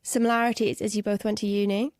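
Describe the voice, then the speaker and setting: A woman's voice: medium pitch, level tone, fast, a little mellow, no echo, clean studio sound. A woman hosting a casual podcast interview, asking encouraging questions. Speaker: podcast host.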